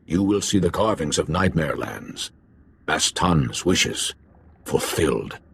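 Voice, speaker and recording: An actor playing a commanding alien family elder in a video game, with terse, formal declarations. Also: male voice, rumbling low, sing-song, takes it slowly, gravelly, a bit bright, slight background noise, full character voice. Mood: grave.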